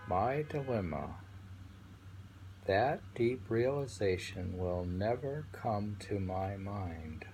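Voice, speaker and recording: A man reading poetry aloud and commenting on it informally, in a contemplative way, pausing to muse between phrases. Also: low-pitched, gravelly, a little mellow, poor audio. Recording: amateur recording with faint background noise.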